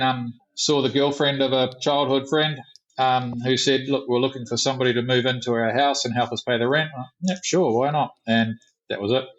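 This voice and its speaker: A man's voice: medium pitch, dull audio. A middle-aged man with a New Zealand accent, a guest talking casually and a bit rambly. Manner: relaxed, rambling, with fillers. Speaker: guest, tour company founder. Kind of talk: casual interview.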